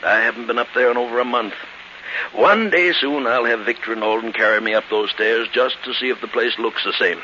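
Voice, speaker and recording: An actor playing a genial, teasing old uncle in a 1940s radio drama, hearty and avuncular. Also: male voice, mid-range voice, a little fast, bright, forceful tone, lightly acted. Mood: fussy, fond. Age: older. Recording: muffled, hissy 1940s radio recording.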